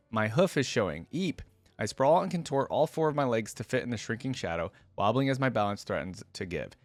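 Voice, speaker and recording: A shy, clumsy young fawn girl from a fantasy storybook, read by a man in a fairly low voice. The telling is gentle and hesitant, first person, in a dry close recording. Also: no echo, natural voice. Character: shy, timid, clumsy young female fawn dream spirit.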